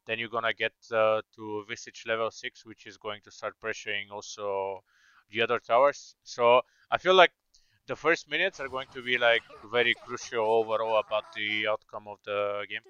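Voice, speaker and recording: Crisp-voiced Bulgarian man, lo-fi mic. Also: lowish voice, fairly even intonation, moderate pace, bright, forceful tone, no echo. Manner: analytical, hesitant, many fillers. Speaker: esports co-caster. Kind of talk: live game commentary. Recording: slightly dull, lo-fi mic.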